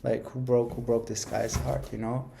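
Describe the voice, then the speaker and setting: Guy just chatting through tips, kinda rambly n informal, medium pitch, hammers points by repeating them. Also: flat delivery, moderate pace, vocal fry, background noise. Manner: informal, emphatic, repeats phrases for stress. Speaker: language-learning video creator. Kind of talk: casual, rambling how-to advice.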